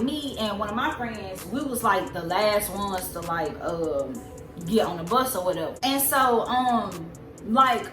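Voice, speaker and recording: A woman in her twenties with a soft, squeaky voice, telling a story in a casual, rambling way with lots of fillers. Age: 20s. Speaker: vlogger. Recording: roomy echo with loud music behind. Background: music.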